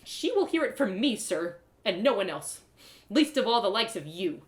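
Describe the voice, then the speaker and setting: A woman's voice: medium pitch, big pitch swings, moderate pace, close to an everyday voice. A woman voicing a stubborn, irritable young female adventurer in a storybook, blunt and sarcastic. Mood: heated. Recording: roomy echo.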